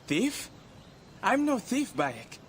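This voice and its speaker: An actor playing a slippery, boastful thief in a video game, telling things in a self-dramatizing way. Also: male voice, very high voice, wildly swooping pitch, slow pace, slight background noise, full character voice. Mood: slippery, defensive. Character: boastful male thief, roguish and slippery storyteller.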